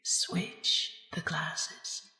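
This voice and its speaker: Undead old vampire woman: menacing, very slow delivery, cavernous reverb, radio horror. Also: sharp tone, heavily acted. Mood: sinister, coaxing. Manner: slow, insistent, breathy whispering and gloating. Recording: long echoing reverb with music behind.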